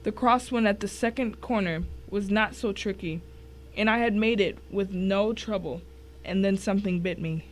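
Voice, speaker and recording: A woman reads a suspense story in the first person. Her medium-pitched voice carries a dramatic narrating style. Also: moderate pace, slight background noise, rough recording.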